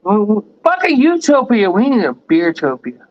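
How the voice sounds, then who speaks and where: A man who has been drinking, rambling with profane, animated riffs in a casual chat. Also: high voice, normal speed. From Texas. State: tipsy from drinking. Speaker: show host. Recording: dry, slightly dull lo-fi sound.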